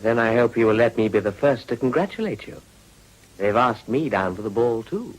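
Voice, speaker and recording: An actor playing an urbane, world-weary Edwardian gentleman with an upper-class English accent and a dry, epigrammatic manner in a period radio drama. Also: medium pitch, expressive, normal speed, natural voice, rough recording. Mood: dry, teasing.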